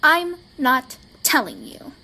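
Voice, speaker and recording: A woman playing a reserved, brooding teenage girl in an amateur audio drama, measured and guarded in manner. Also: sits high, very animated, bright, forceful tone, full character voice. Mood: dismissive.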